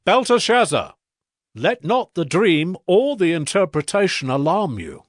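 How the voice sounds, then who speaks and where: A stately king, slow and sweeping. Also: high-pitched, big pitch swings, dry recording, clean studio sound. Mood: commanding. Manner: stately, declamatory. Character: proud Babylonian king, humbled and exalting. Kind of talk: dramatized scripture reading.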